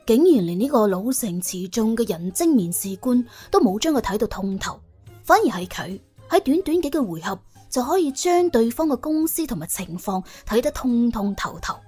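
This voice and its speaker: A woman narrating an audiobook in colloquial Cantonese, telling the story in a wry, dramatic, expressive way. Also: on the high side, moderate pace, slightly rough, faint music behind.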